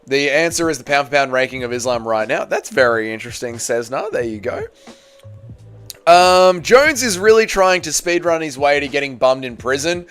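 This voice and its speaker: A man's voice: mid-range voice, lively intonation, normal speed, fairly bright tone, clean tone, soft background music. A man in his thirties hosting a casual livestream, talking in a blokey, crude, repetitive way to his viewers. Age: 30s. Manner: blokey, crude joking, repeats himself, addresses viewers as boys. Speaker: livestream host. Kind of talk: casual livestream chat about MMA, reading out viewer comments.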